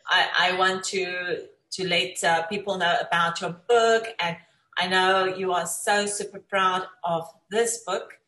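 A woman's voice: on the low side, steady tone, measured pace, sharp tone. Echoey woman hosting a supportive talk show. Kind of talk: conversational interview. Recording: echoey room sound.

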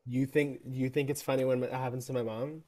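Deadpan young man co-host. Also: mid-range voice, a little fast. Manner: dry, deadpan joking, drawn out. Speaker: podcast co-host. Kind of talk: casual chat.

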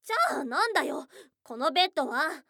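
A woman's voice: sits high, lively intonation, fast, pushed and bright, no echo, clean studio sound. A woman playing a young goblin girl, a blunt, teasing yet shy girlfriend, in an intimate ASMR voice drama. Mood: hurt, accusing. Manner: blunt tomboyish speech, teasing and bashful. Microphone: close dry studio microphone.

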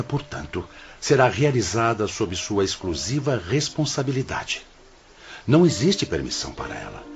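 An actor playing a stern spirit brother in authority in a radio drama, speaking in a grave, formal, admonishing way. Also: male voice, medium pitch. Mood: stern warning.

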